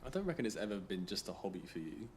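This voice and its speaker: Guy acting broke brit writer bloke, lowish voice, chill and supportive, radio drama, noisy outside with geese. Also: very animated, very fast, a little mellow. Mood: gently encouraging. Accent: British. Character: broke, easygoing young male writer, gently encouraging. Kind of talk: naturalistic radio drama dialogue.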